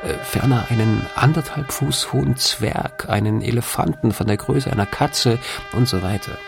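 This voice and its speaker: A man playing an observant, bemused European traveller of old, reading his account in measured, formal language. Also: mid-range voice, gravelly, over music, close to an everyday voice.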